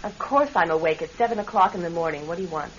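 A woman's voice: lowish voice, fast talker, fairly bright tone, natural voice. An actress playing an imperious, wealthy businesswoman wife in an old-time radio drama, speaking in a commanding, formal manner. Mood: cold, weary. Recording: dull, hissy vintage radio recording.

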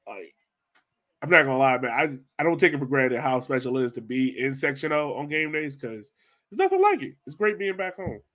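A man's voice: mid-range voice, very animated, a little fast, a bit bright. A man hosting a casual sports podcast, talking in an informal, colloquial, emphatic way. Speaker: podcast host.

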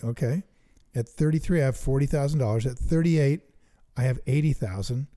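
A middle-aged man co-hosting a chat about investing, eager and thinking out loud as he works sums aloud. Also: mid-range voice, a little fast. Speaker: investing podcast co-host. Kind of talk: casual teaching chat.

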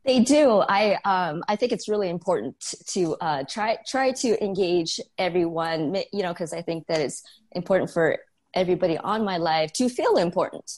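A woman's voice: lowish voice, lively intonation, normal speed, a little mellow. A woman from Hawaii, a guest on a casual panel, talking in a friendly, earnest way with some hesitation.

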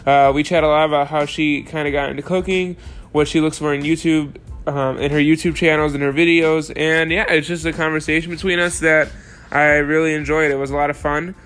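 A man's voice: a little high, little pitch movement, a little fast, fairly bright tone, clean tone, soft background music. Upbeat young male podcast host. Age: young adult. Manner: enthusiastic, loose with fillers. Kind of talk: casual podcast interview.

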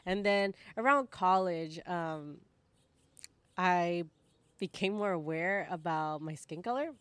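A woman's voice: on the low side, takes it slowly, a bit bright, clean tone, dry recording. A young woman in her twenties, co-hosting a podcast in casual chat, speaking with many "like" fillers. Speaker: podcast co-host.

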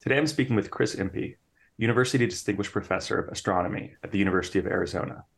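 A man's voice: lowish voice, racing, gravelly. A man hosting and interviewing on a podcast.

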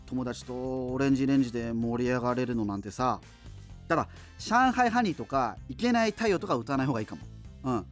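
An actor playing a chatty, self-deprecating radio host who muses casually through listener letters, with music behind him. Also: male voice, mid-range voice, expressive, quick pace, lightly acted. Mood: musing, conversational. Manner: rambling, colloquial, musing asides.

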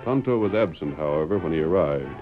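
A man's voice: extremely low pitch, lively intonation, brisk, soft background music. A man narrating an old-time radio western as its storytelling announcer, in a scripted dramatic style with an American accent. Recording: dull old radio recording.

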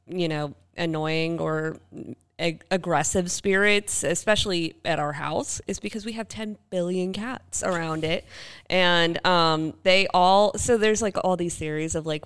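A woman's voice: on the low side, fairly bright tone, clean tone. A woman co-hosting a podcast, chatting casually and peppering her talk with "like". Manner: conversational, uses "like" often. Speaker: podcast co-host. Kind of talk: casual chat about the paranormal.

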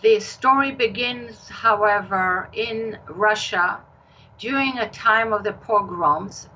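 An older woman with an Israeli accent, a novelist talking in an interview in a measured storytelling manner. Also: medium pitch, pushed and bright, dull audio. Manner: slow, measured storytelling with occasional restarts. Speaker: novelist guest. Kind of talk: interview conversation.

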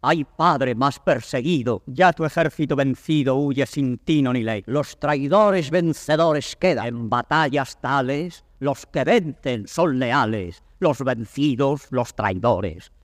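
An actor playing a defeated old king and father, declaiming classical Spanish verse with a Castilian accent in grave, drawn-out phrases. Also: mid-range voice, lightly acted. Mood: anguished lament. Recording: reverberant hall, vintage studio drama record.

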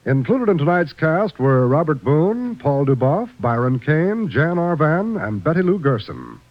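Male American announcer, highish animated voice, polished old-time radio delivery, muffled broadcast. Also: a little slow. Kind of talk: 1950s scripted announcing. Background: music.

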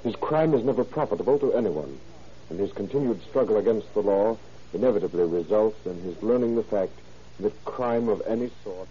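A man's voice: on the low side, warm tone. A man giving a stiff, sententious scripted address as a police chief guesting on old radio. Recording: muffled old 1930s radio recording.

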